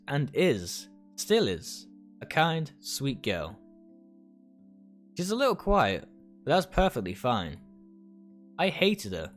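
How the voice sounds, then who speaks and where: Expressive man reading aloud over music. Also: medium pitch. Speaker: game streamer reading a visual novel aloud. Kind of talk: dramatic reading of first-person narration. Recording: music behind the voice.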